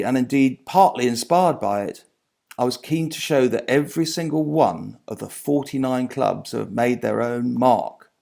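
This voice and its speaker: A man with a heavily creaky, gravelly voice reads a scripted book passage aloud. His delivery is measured and articulate, broken into careful phrases that drop off at the ends. He sounds like an author presenting his own writing in a literary way. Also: mid-range voice, darkish tone.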